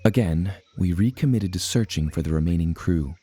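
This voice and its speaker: A man's voice: sits low, moderate pace, dark tone, breathy edge, no echo. An actor playing an earnest seafaring adventurer who tells his tale in formal, old-fashioned language. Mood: earnest storytelling. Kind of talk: scripted storybook narration.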